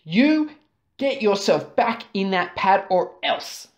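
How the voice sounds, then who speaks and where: A woman's voice: medium pitch, very animated, slow, pitched above the performer's own voice. Woman acting a stern, swooping storybook mum. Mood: commanding. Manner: emphatic, scolding. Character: stern, exasperated mother who scolds and bellows.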